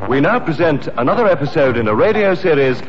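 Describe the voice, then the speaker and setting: A man's voice: on the high side, expressive, fast. A man with a British accent making a formal announcement as a 1960s radio announcer. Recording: dull old radio recording with audience. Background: theme music and audience.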